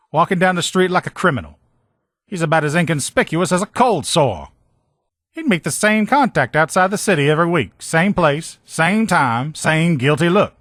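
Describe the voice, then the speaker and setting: A man's voice: fairly high pitch, a bit bright, dry recording, clean studio sound. A man voicing a slangy, good-humored veteran lieutenant and mechanic in a video game. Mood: scornful, amused. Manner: folksy, slangy, talkative. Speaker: video game character voice actor. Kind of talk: video game dialogue lines.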